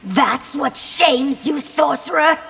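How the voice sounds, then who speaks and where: A woman playing a scornful, taunting fighter in a fighting game, with a raspy voice. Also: sits high, animated, slow pace, a bit bright, heavily acted.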